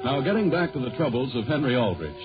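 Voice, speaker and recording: A man's voice: mid-range voice, sing-song, quick pace. A male announcer narrating a 1940s radio show in a scripted, scene-setting style. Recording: muffled 1940s radio recording with music. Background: music.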